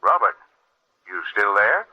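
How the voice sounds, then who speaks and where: An actor playing an impatient, commanding boss with a husky voice in an old radio drama. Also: male voice, fairly low pitch, very animated, a little slow. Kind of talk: 1940s radio acting. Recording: muffled old radio recording.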